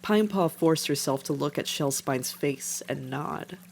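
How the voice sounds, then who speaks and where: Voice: mid-range voice, unhurried. A narrator reading scripted fiction as the storyteller of an animal tale. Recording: faint background noise.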